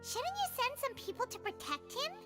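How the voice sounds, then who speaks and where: A woman's voice: very animated, normal speed, over music. A woman voicing a small, chirpy, childlike fairy companion in a video game, eager and exclamatory. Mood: curious. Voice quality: squeaky, childlike. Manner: exclamatory, refers to self in third person.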